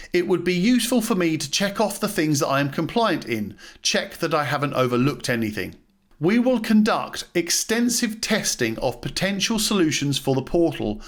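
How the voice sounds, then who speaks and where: Higher-pitched man reading a formal document. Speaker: reader, podcast host and landlord. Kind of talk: scripted narration, reading a policy document aloud.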